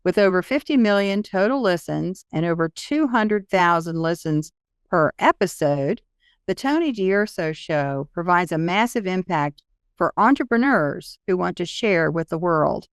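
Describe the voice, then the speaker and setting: A woman's voice: lowish voice, expressive, a little slow, smooth, dry recording, studio quality. A woman hosting a podcast, introducing and interviewing a guest.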